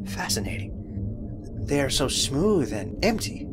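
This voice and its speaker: A man plays a bookish, curious and easily spooked space explorer in an audio drama. His mid-pitched voice is very expressive and slightly formal, set against loud music. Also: a little slow, poor audio. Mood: fascinated. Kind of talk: sci-fi audio drama acting.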